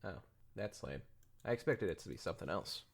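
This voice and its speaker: A man with a lowish voice full of gravelly fry co-hosts a podcast. He talks casually and informally, breaking in with commentary and offhand asides. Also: expressive, fairly quick, slight background noise. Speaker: podcast co-host.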